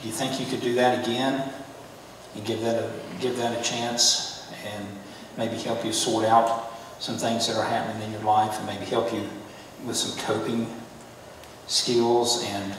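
A man's voice: on the low side, little pitch movement, measured pace. Male officer in a distant, echoey hall. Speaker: police officer. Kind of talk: role-play conversation. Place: theatre stage, far camera. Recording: echoey hall, distant mic, faint noise.

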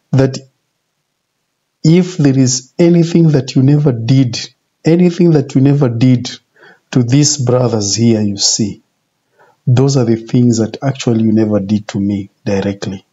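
A man in his forties giving an informal sermon in a deliberate, repetitive, emphatic way. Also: medium pitch, warm tone, slightly rough. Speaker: religious video presenter. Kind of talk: informal sermon, retelling scripture. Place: small indoor office. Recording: muffled, dull home video audio.

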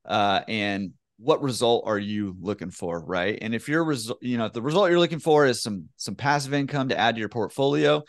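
A man in his thirties, a guest on a video call, answering in a relaxed, conversational way full of "you know" fillers. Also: mid-range voice, brisk, few pauses, dry recording, clean studio sound. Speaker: laundromat owner, guest. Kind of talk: casual interview answer. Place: home room with bookshelf. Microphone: headset with desk mic on video call.

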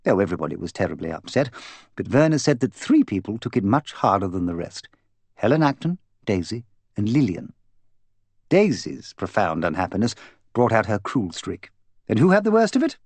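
A man's voice: fairly low pitch, very animated, moderate pace, a little mellow, slightly rough, no echo. A man voicing an imperious, gossipy, opinionated English woman in an audiobook, bossy, emphatic and voluble. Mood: gossipy, confiding. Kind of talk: audiobook character dialogue.

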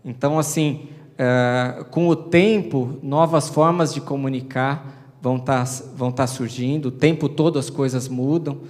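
A man's voice: medium pitch, fairly even intonation, unhurried, a little mellow. A man in his thirties with a Brazilian Portuguese accent, a mayor giving a public address on a handheld mic, informal and conversational with frequent fillers. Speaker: city mayor. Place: large auditorium, seated at a panel table. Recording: long hall reverb.

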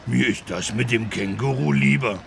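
A big gentle cartoon elephant, with a heavily creaky, gravelly voice. He talks in a simple, childlike, friendly way for a children's game, with faint street noise behind him. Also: mid-range voice, normal speed. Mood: relieved. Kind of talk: children's learning game voice acting.

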